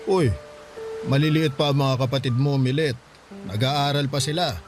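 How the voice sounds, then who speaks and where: A man's voice: medium pitch, moderate pace, music in the background, lightly acted. An actor playing a hardworking father in his forties, speaking tenderly and reassuringly in a radio drama. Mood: gentle. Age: 40s. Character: hardworking widowed father, mid-forties, gentle.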